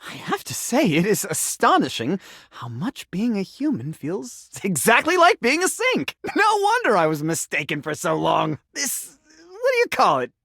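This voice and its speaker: A man's voice: high-pitched, wildly swooping pitch, moderate pace, gravelly, fairly bright tone, no echo, clean studio sound, full character voice. An actor playing a confused, sentimental sink who thinks he is human in a video game, with theatrical, flowery delivery. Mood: earnest, puzzled. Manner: theatrical, flowery, swinging between formal and exclamatory. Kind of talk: video game character acting.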